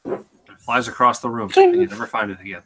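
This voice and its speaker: A man's voice: mid-range voice, huge pitch swings, quick pace, sharp tone, a little hiss or hum. A man on a video call, joking and teasing during casual chat. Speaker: panelist.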